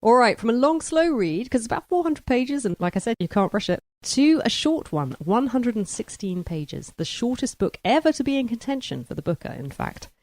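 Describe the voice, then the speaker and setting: A woman's voice: a little high, very animated, moderate pace, hardly any pauses, a little mellow. A woman hosting a podcast, talking through and introducing books in a discussion. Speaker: book club podcast host.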